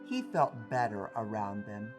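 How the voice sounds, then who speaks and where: A man reading a children's story aloud in a deliberate, emphatic manner with dramatic pauses. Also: fairly low pitch, lively intonation. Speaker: storybook reader. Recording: music behind the voice. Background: music.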